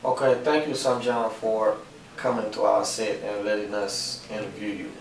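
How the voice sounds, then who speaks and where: A man's voice: lowish voice, flat delivery, normal speed, vocal fry, recorded from a distance. Man interviewing in an echoey room. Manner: polite, plainly worded questions. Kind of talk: casual student interview.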